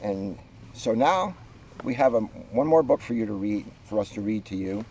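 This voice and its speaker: A man, a police officer, reading a children's book aloud with expressive, drawn-out emphasis and casual asides. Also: medium pitch, creaky, slight background noise. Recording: dull, muffled lo-fi sound.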